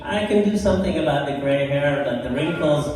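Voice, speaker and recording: A man's voice: fairly high pitch, lively intonation, a little mellow, slight background noise. An older man from Iraq with an Iraqi accent, performing a self-deprecating stand-up routine in a bar and chuckling through his punchlines. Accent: Iraqi Arabic. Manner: self-deprecating, unhurried punchlines with laughing asides. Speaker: stand-up comedian. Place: bar stage. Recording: echoey bar from far off.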